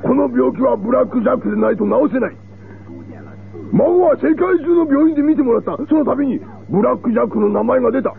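An actor playing an old, impassioned, vengeful Italian mafia godfather in a Japanese radio drama, with a creaky voice. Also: extremely high pitch, animated, quick pace, heavily acted. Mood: grief-stricken, accusing. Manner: theatrical, impassioned. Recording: tinny, noisy old radio recording.

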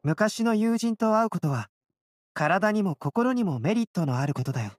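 A woman voicing a serene young male intellectual in an anime game, calm, reflective and polite. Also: medium pitch, normal speed, slight background noise, natural voice. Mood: calm, curious. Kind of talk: Japanese anime game voice acting.

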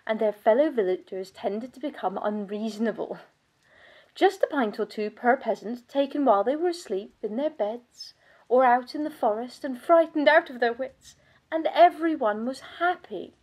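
An actress playing a bitter, world-weary aristocratic vampire countess in a theatrical monologue, pompous and sardonic in manner. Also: female voice, a little high, breathy edge. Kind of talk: scripted dramatic monologue.

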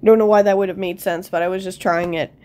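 A woman's voice: medium pitch, steady tone, fast talker, darkish tone. A woman with a stuffed-up nose casually thinks aloud over a puzzle game, a little self-deprecating. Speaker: player commenting on own gameplay.

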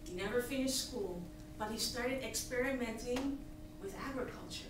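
A woman in her thirties from Tanzania, giving an earnest, emphatic pitch as a nonprofit founder from a stage podium. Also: normal speed. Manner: earnest, emphatic, gesturing at slides. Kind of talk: prepared presentation speech. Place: large hall, on stage at a podium. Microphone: podium mic, recorded by a far audience camera. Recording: echoey hall from far off, hissy.

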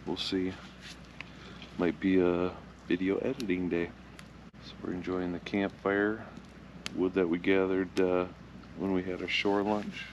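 A man in his fifties casually narrating his fishing trip from a small boat on a lake, relaxed and matter-of-fact. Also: low-pitched, a little slow, long pauses, lo-fi sound, a little hiss or hum. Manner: relaxed, matter-of-fact, upbeat when a fish bites. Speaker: fishing vlogger. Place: small aluminum boat on an open lake, outdoors. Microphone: action camera mounted in the boat.

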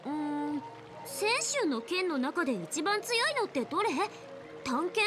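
A woman's voice: high-pitched, big pitch swings, measured pace, over music. An actress playing a cheerful, curious young girl in a game, chirpy and full of questions. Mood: bubbly. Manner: chirpy, inquisitive.

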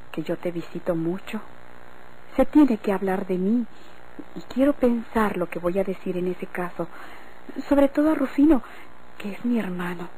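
Woman acting nervous country girlfriend, halting, mellow voice, old radio drama, slight noise. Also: mid-range voice, natural voice, rough recording. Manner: halting, stammering. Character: hesitant, anxious country woman, secret sweetheart. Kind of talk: golden-age Mexican radio drama acting.

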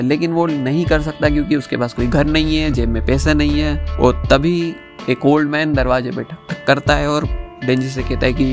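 A man narrating a review as a YouTuber, conversational, mixing in English phrases. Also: mid-range voice. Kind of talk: review narration with plot recap. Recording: music under the voice. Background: background music.

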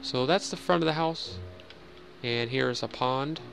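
A man's voice: mid-range voice, measured pace, vocal fry, fairly bright tone, faint music behind. A young man casually narrating a game house tour in voice-over, rambling with fillers and joking at himself. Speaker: Minecraft builder giving a house tour.

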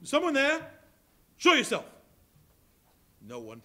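A man's voice: extremely high pitch, wildly swooping pitch, slow, pushed and bright, heavily acted. An actor playing a miserly, suspicious, cantankerous old businessman in a theatrical live stage performance. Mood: wary, muttering. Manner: theatrical, exclamatory. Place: live on a college hall stage before an audience.

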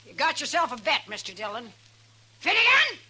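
An actress playing a brash, boastful, quick-tempered frontier woman with folksy slang in a 1950s radio western. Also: high-pitched, wildly swooping pitch, background noise. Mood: confident. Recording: vintage 1950s radio broadcast.

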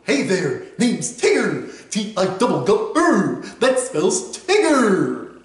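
A man's voice: very high-pitched, voice pushed up, exaggerated voice. A man voicing a bouncy, boastful male tiger with a chuckling, sing-song delivery. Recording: roomy echo.

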